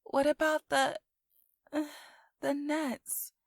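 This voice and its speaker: A woman's voice: high-pitched, level tone, takes it slowly, creaky, dry recording, studio quality, voice pushed up, exaggerated voice. A woman voicing a shy, adoring little sister, a young girl with a sweet, hesitant, childlike manner, in an audio storybook. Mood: sleepy.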